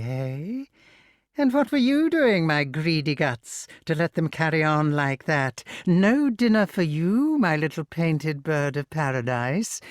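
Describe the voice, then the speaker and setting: A storyteller voicing a gloating old witch, crooning with mock sweetness and menace in exclamatory, drawn-out words. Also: medium pitch, no room sound, clean studio sound, voice pushed up.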